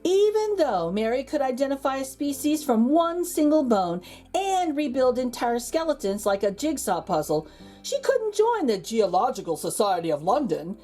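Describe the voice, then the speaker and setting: A woman reading a children's picture book aloud as a storytime host, theatrically, with dramatic pauses and emphasis. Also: sits high, big pitch swings, warm tone, soft background music.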